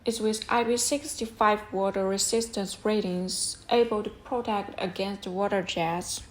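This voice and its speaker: A woman's voice: medium pitch, steady tone, unhurried, faint music behind, low-quality recording. A woman presenting a product review as scripted narration, explaining things step by step in an instructional way.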